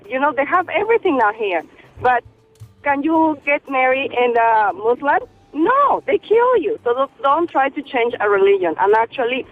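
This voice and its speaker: A woman from Peru with a Peruvian Spanish accent, calling a radio show and giving her opinion in an earnest, emphatic, halting way. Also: a little high, no room sound. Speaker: caller. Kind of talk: phone-in opinion. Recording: tinny phone line.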